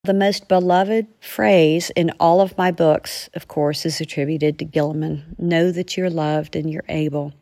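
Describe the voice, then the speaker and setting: A woman's voice: deep voice, fairly even intonation, unhurried, few pauses, dark tone, dry recording, studio quality. A woman author talking to listeners, sharing a personal anecdote in a conversational way. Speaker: author sharing a reader story.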